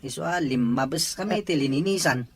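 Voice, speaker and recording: A man's voice: on the high side, fairly quick, breathy edge. A man acting an earnest labourer in a radio drama, speaking with an Ilocano accent. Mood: earnest, puzzled. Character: Simon, earnest hired labourer reporting a boundary problem.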